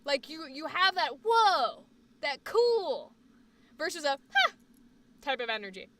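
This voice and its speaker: A woman with a high, bright voice and a slight Boston accent, chatting loosely on her livestream. She rambles and repeats words for emphasis, and sounds a little away from the mic. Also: sing-song, slow, far from the mic. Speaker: livestream host. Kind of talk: casual chat stream.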